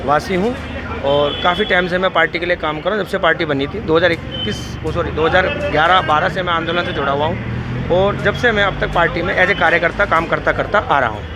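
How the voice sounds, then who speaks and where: A man's voice: fairly high pitch, fairly quick, background noise. A man, a party worker, speaking confidently and chanting slogans in a street interview.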